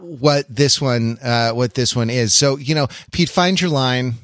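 A man hosting a casual film podcast, speaking in halting, wordy, roundabout sentences. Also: mid-range voice, fairly bright tone, dry recording, studio quality. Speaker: podcast host.

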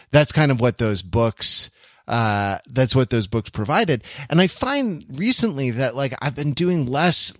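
A middle-aged man co-hosting a podcast, chatting casually and reflectively, halting often as he searches for words. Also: medium pitch, a bit bright, dry recording, clean studio sound. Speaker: podcast co-host.

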